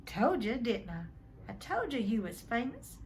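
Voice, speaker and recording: A woman reads the part of a huge, hearty storybook man with homely, rough speech and a friendly temper. The recording is faintly noisy. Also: fairly high pitch, very animated, unhurried, a little mellow.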